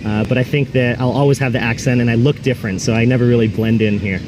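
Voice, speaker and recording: A man's voice: medium pitch, steady tone, fast. A man who runs a public speaking company, answering with confident, polished delivery in a street interview. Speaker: debate trainer, interviewee. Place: busy city street outdoors. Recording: street traffic noise.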